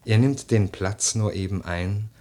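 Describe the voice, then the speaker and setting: A man's voice: lowish voice, dark tone, lightly acted. An actor playing a grieving, brooding young nobleman in a declamatory, lyrical verse radio drama. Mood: earnest, pleading. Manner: declamatory, lyrical, slow and deliberate.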